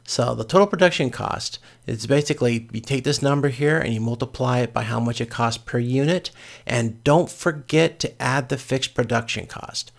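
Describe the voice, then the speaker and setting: A man giving an informal, step-by-step spreadsheet lecture as a course instructor, with a gravelly, creaky voice. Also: medium pitch, normal speed. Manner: explains step by step in plain informal words.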